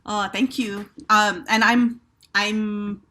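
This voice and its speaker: A woman's voice: mid-range voice, takes it slowly. Chatty woman in her fifties. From Hawaii. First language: Hawaii Pidgin Creole. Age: 50s. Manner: chatty and animated, with laughs and fillers. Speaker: theater company director. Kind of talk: panel introduction over video call. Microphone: video call.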